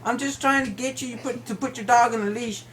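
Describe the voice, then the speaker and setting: A woman's voice: a little high, fairly even intonation, fast talker, fairly bright tone, a little hiss or hum, close to an everyday voice. A woman playing an aggrieved, stubborn male neighbor, argumentative and repetitive, in an improvised prank phone call. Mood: indignant, complaining.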